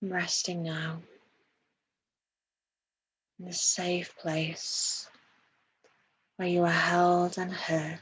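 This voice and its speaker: A woman leading a guided meditation in a soft, breathy voice, soothing and hushed, with long pauses between phrases. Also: on the low side, little pitch movement, low-quality recording.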